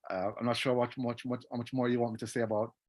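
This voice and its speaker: A man from Jamaica, a guest on an online interview, telling his life story casually with many pauses and fillers. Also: mid-range voice, level tone, a little mellow, no echo. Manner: hesitant, with many fillers and restarts. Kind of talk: casual personal testimony. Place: home. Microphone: video call. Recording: dry video-call audio.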